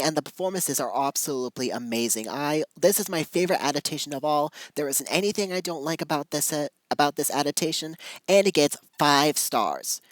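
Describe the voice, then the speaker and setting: A video reviewer of unclear sex giving informal, opinionated commentary to viewers in a halting, emphatic way. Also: mid-range voice, no room sound, low-quality recording.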